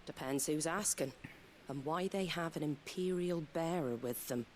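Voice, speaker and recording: An actress playing a wary, plain-spoken innkeeper in a video game. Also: female voice, deep voice, normal speed, darkish tone, a little hiss or hum, close to an everyday voice. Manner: guarded, plain-spoken, folksy. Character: wary, blunt woman innkeeper. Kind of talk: game dialogue.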